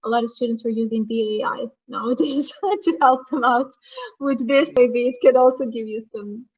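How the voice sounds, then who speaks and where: A woman with a Serbian accent talks in a fairly high voice. She chats casually as a language tutor, and the sound is slightly muffled, like a lo-fi internet call. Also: moderate pace, darkish tone. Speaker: online English conversation tutor. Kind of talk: casual conversational chat. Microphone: online video call. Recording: muffled, lo-fi online call audio.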